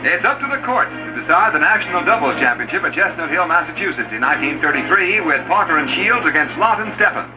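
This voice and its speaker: A man's voice: on the high side, very animated, fast, hardly any pauses, rough recording. A man narrating an old newsreel from a script in a dramatic, declamatory announcer's delivery. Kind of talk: scripted vintage newsreel commentary. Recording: old newsreel soundtrack with loud music. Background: music.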